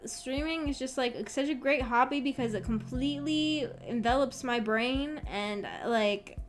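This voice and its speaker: A woman's voice: high voice, unhurried. A young adult woman chatting casually on a livestream in a hesitant, rambling, self-effacing way. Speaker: streamer chatting with live chat. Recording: background music under the voice. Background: background music.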